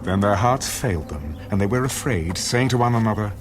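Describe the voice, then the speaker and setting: A low-voiced man narrates scripture as solemn audio theater. His delivery is very varied in pitch and scripted, with prominent music playing behind him. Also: animated, a little fast. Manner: solemn storytelling. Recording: loud music bed.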